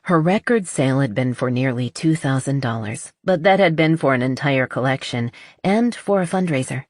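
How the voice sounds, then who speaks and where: A woman's voice: very deep voice, expressive, normal speed, dry recording, clean studio sound. A woman reading a romance novel as scripted audiobook narration.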